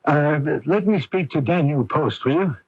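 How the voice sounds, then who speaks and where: A man's voice: on the high side, expressive, unhurried, husky, no room sound. An actor playing an anxious, reticent middle-aged father in a radio drama, hesitant and pressing, stammering at times. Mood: urgent, pleading. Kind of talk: radio drama acting with first-person narration. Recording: dull noisy AM radio broadcast recording.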